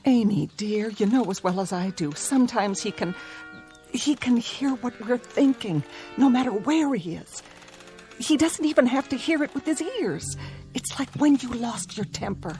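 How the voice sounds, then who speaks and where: A woman's voice: a little high, expressive, normal speed, darkish tone, soft background music, close to an everyday voice. A woman playing an anxious farm mother in a radio drama, speaking carefully and with forced reassurance. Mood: anxious, soothing. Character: anxious farm mother forcing cheerful calm.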